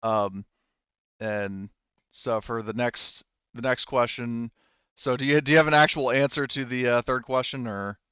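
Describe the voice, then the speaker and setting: A man runs a tabletop game as game master, narrating in a casual, improvised way. His voice is mid-pitched and smooth, captured close and dry. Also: fairly even intonation, measured pace, pushed and bright, dry recording, lo-fi sound.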